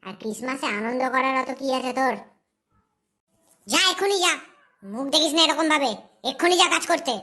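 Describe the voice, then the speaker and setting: Woman acting a bossy, high-voiced scolding aunt. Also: fairly even intonation, fast talker, hardly any pauses, sharp tone. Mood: angry, scolding. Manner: scolding, domineering. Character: harsh, scolding, bossy aunt who overworks her niece. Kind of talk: cartoon story dialogue.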